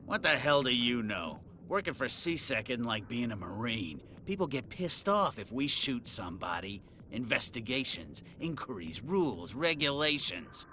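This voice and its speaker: A man's voice: medium pitch, very animated, normal speed, hardly any pauses, bright, forceful tone, a little hiss or hum. An actor playing a disgraced middle-aged policeman in a video game, boozy, sardonic and sneering. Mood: resentful.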